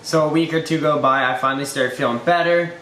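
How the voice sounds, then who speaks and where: Slightly hoarse young man, rambling vlog. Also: a little high, steady tone, fast, pushed and bright, echoey room, slight background noise. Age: 20s. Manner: rambling and loose, lots of "like" and "you know". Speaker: YouTuber. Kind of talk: casual story-time vlog.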